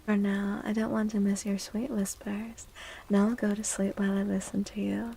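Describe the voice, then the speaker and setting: A woman speaking softly and soothingly in a whispery, breathy voice, as an ASMR livestream host. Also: mid-range voice, little pitch movement, slight background noise. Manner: gentle, soothing, unhurried. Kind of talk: soft-spoken ASMR, tarot reading and chatting with viewers.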